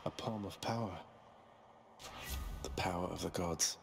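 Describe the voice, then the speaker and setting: An actor playing a cocky young underworld prince with a dry, nonchalant manner. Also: male voice, low-pitched, a little slow, vocal fry. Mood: dry, cool. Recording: game music behind.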